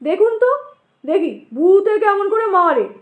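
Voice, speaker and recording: A woman's voice: extremely high pitch, sing-song, measured pace, reverberant, phone-quality sound, pitched above the performer's own voice, exaggerated voice. A woman voicing a stout, talkative, sceptical male station master in an audio drama, blustery and full of exclamations. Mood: confiding, emphatic. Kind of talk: dramatized audio story dialogue.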